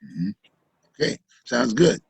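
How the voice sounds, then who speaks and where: A man hosting a public affairs talk show, speaking haltingly and repeating words as he searches for the next phrase. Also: medium pitch, very animated, creaky, husky, no room sound.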